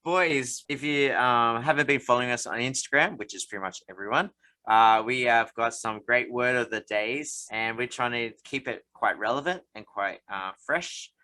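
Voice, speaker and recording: A man's voice: medium pitch, moderate pace, bright, forceful tone, low-quality recording. A man co-hosting a casual podcast, chatty and loose, steering the talk with questions. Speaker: podcast co-host. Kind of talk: casual chat.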